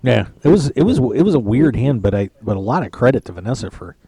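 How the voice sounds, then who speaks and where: A man hosts a poker radio show live from a tournament lounge. His voice is mellow and dark, and the sound is dry. He rambles and jokes with lots of restarts. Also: medium pitch, very animated, fast talker, husky, no echo. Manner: chatty, joking, rambling with restarts. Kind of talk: casual live-stream chat.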